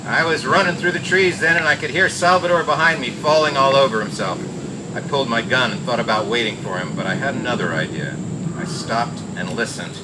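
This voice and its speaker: Cool wisecracking gumshoe, male actor, medium pitch, noir radio narration with clear background noise. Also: brisk, close to an everyday voice. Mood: wry, cool. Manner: wry, cool, slangy. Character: wisecracking hard-boiled male private detective narrator. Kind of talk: old-time radio noir narration and acting. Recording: noisy old radio drama recording.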